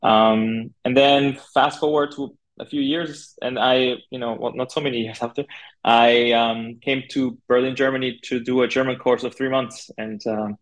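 A man from Argentina speaking as a podcast guest in a casual, conversational way, informal and halting, with plenty of filler words. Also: mid-range voice, steady tone, rough recording. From Argentina (Buenos Aires). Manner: informal, halting, peppered with 'like' and 'you know'. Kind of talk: casual conversational interview, telling own career story.

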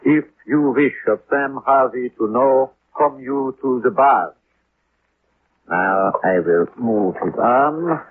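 An actor playing a formal, insistent German police official in a 1950s radio drama, with a German accent and stilted, inverted phrasing. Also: male voice, mid-range voice, sing-song, slow pace, vocal fry, heavily acted. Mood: grave. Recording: muffled, noisy 1950s radio recording.

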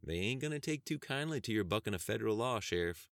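A man's voice: medium pitch, sing-song, fairly quick, a bit bright, clean tone, no room sound, clean studio sound, pitched above the performer's own voice. A man voicing an elderly woman secretary, timid but nagging, imploring and cautioning in a narrated story. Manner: imploring, warning.

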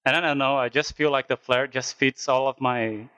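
A man's voice: medium pitch, steady tone, moderate pace, pushed and bright, dry recording, muffled recording. A man from Brazil with a Brazilian accent, a guest in a casual podcast chat, who searches for words and hesitates often. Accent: Brazilian Portuguese.

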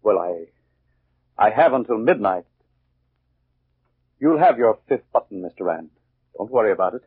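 A man's voice: fairly low pitch, lively intonation, moderate pace, breathy edge, no room sound. A man playing a greedy, domineering, suspicious husband with a harsh, commanding manner in a 1940s radio mystery. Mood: curt. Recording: scratchy, muffled 1940s radio recording.

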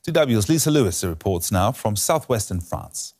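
A man's voice: on the low side, sing-song, moderate pace, darkish tone, husky. A man presenting broadcast news, reading a scripted introduction to a report.

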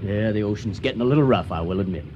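Actor as folksy, slippery young navigator. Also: male voice, lowish voice, rapid-fire, dark tone, muffled recording, natural voice. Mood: breezy, needling. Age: young adult. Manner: folksy, over-familiar. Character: folksy young boat navigator, breezy but quietly sinister. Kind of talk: 1980 radio drama acting. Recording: noisy radio recording with boat ambience.

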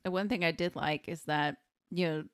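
A woman's voice: low-pitched, steady tone, normal speed, fairly bright tone, no room sound, studio quality. A woman co-hosting a podcast, chatting casually about a film and chiming in with short agreeing remarks. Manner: laid-back, chimes in with short agreeing remarks and laughs. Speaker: podcast co-host. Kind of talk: casual movie recap chat.

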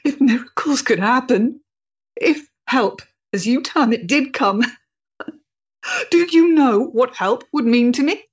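A woman playing a proud, bitter, disillusioned woman in a period drama, speaking with intense deliberation and a husky voice. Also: high voice, animated, takes it slowly, highs cut off, exaggerated voice. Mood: bitter, hopeless. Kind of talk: period audio drama acting.

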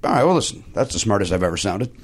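Hoarse raspy man hosting a podcast. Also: fairly low pitch, big pitch swings, rapid-fire. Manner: playful, self-mocking banter. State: hoarse from a sore throat. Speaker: podcast host. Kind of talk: casual comedy podcast chat.

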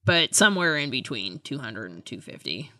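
A woman's voice: very deep voice, sing-song, normal speed, sharp tone, no room sound. A woman co-hosting a podcast in casual fan chat, chatty and rambling, often hedging and trailing off. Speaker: podcast co-host.

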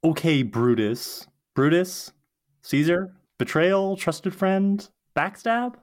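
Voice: mid-range voice, slow pace, natural voice. A performer reading an eager, earnest young woman who dabbles in witchcraft, chatty, in a script table read. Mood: pointed, caring.

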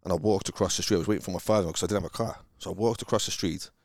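A man from Wales with a Welsh accent, chatting casually about his sales career in a halting, rambling way, with many restarts. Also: low-pitched, fairly even intonation, vocal fry. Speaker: car salesman guest. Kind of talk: casual conversation.

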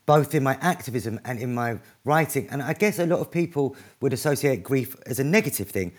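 Earnest man, rapid restarts. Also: mid-range voice, animated. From North East London, England. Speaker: author and activist, guest. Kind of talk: conversational book-event answer.

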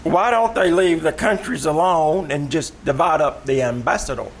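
An actor playing a slow-witted, good-natured oaf in old-time radio comedy, speaking in a dopey, halting, childlike way. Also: male voice, on the high side, lightly acted. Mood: puzzled. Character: slow-witted, good-natured oafish man, earnest and simple. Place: radio theater stage. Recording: noisy live stage recording.

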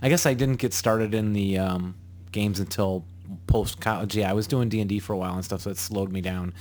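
A man hosting a casual podcast interview, easygoing and quick to laugh along. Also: fairly low pitch, steady tone, darkish tone. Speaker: podcast host.

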